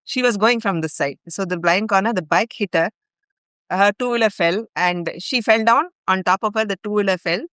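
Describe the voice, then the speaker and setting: A woman's voice: mid-range voice, moderate pace, a bit bright, clear, resonant tone, dry recording. A middle-aged woman from India with an Indian English accent, earnestly telling a long personal story as a podcast guest. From India (grew up in Bhopal). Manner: earnest, recounts events in long chains with fillers. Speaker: guest, longtime ashram volunteer.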